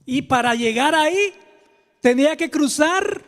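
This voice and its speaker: High-voiced Salvadoran man preaching in a reverberant space. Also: lively intonation, a little slow, fairly bright tone. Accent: Salvadoran Spanish. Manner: informal, confiding, addresses listeners directly. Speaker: pastor, preacher. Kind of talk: conversational sermon with personal storytelling. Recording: long hall reverb.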